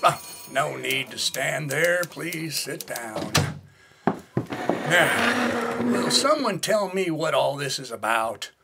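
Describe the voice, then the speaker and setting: Blustering US colonel, American accent, high creaky rasp, forceful, swooping radio drama delivery. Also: male voice, big pitch swings, takes it slowly, very noisy, full character voice. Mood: gruff, doubtful. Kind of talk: Cold War radio play acting.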